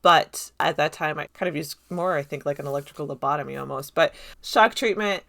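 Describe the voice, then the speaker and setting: A woman reviewing a movie in an unscripted, conversational style. Her voice sits a little low but sounds bright and forward. She rambles along with enthusiasm. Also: brisk, creaky. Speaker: video essayist reviewing a film. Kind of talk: casual unscripted commentary.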